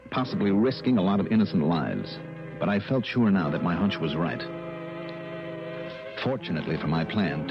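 An American man playing a tough, clipped private investigator in an old radio drama, speaking with insistent authority. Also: low-pitched. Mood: grim narration. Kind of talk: 1950s-60s American radio drama acting. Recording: dull, noisy old radio recording.

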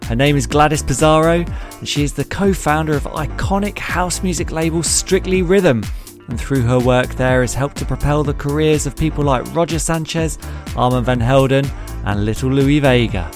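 A man's voice: on the high side, moderate pace, soft background music. A man hosting a podcast, reading a scripted introduction and asking polite, earnest questions. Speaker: podcast host.

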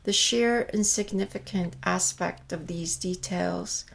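A middle-aged woman from South Korea whose first language is Korean, speaking as an artist in calm, measured reflective narration. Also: on the low side, creaky, rough recording. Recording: faint music behind. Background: soft music.

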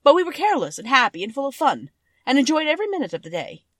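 Woman as winged monkey king, storybook character, cheerful, expressive storyteller, highish pitch, swooping intonation. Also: quick pace, dry recording, clean studio sound. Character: cheerful winged monkey king recounting his band's history. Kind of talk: children's storybook character narration.

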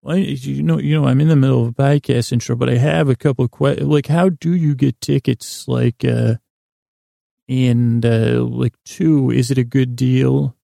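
Man, podcast host, extremely creaky voice, dry close sound, meandering, halting, improvised bedtime rambling. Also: medium pitch, steady tone, mellow, no room sound. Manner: meandering, halting, stop-and-start.

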